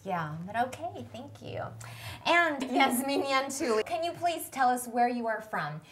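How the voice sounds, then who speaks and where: A woman's voice: high voice, big pitch swings, a little slow, a loud crowd. A woman hosting a TV nutrition show, introducing students with encouraging prompts in a studio kitchen. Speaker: host, nutrition professor. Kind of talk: TV interview host.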